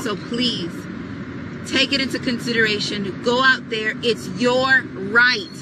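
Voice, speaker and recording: A woman in her thirties filming a vlog with a handheld camera, explaining slowly and deliberately as she walks through a shopping mall. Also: on the high side, steady tone, very noisy. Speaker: vlogger. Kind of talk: vlog walkthrough. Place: car, then large indoor shopping mall. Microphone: handheld camera at arm's length.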